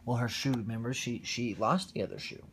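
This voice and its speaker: A man reading a bedtime story aloud to his child on a phone livestream, expressive with quiet asides. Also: mid-range voice, fairly quick, slight background noise.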